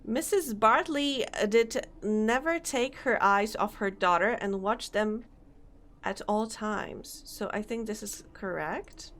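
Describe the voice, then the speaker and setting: A young woman streaming a game, chatting casually, reading on-screen text aloud and laughing often, her voice slightly hoarse. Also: mid-range voice, slow, a bit bright. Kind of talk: casual let's-play commentary.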